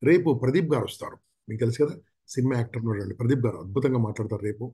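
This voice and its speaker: A mellow, fast-talking man, the sort who opens and wraps up a group class with friendly housekeeping announcements. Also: mid-range voice, expressive, breathy edge. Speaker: session host. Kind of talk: casual announcements to participants.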